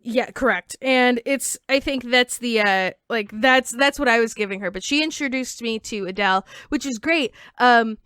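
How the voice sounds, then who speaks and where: Young woman, comedian guest, higher voice, smooth and clear, dry close sound, chatty with lots of fillers. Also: a bit bright, dry recording. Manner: chatty storyteller, many "like" fillers, quick jokes. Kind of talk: casual podcast chat.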